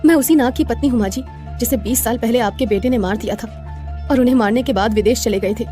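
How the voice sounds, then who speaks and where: A woman's voice: fairly high pitch, quick pace, warm tone, faint music behind, close to an everyday voice. A woman voicing a young shape-shifting serpent wife who speaks respectfully and pleadingly in a moral story. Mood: sorrowful.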